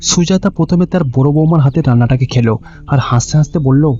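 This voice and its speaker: A man's voice: on the high side, fast, mellow. A man with a Bengali accent reading scripted narration for a cartoon story. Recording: dull, lo-fi audio with music behind.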